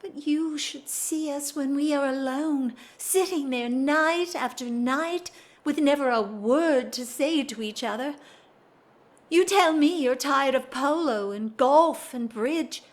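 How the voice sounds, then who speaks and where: A woman's voice: high-pitched, takes it slowly. A woman playing a restless, bored aristocratic wife in a period audio drama, theatrical and wry, with emphatic swells. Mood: wry complaint. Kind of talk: period drawing-room audio drama acting.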